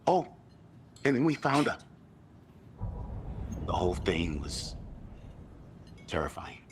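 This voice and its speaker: A rattled man in a video game drama, with a very deep voice. He speaks slowly and haltingly, in colloquial bursts that swing up and down dramatically. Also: big pitch swings, background noise, heavily acted. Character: rattled male tourist recounting a frightening attack. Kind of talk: naturalistic video game acting.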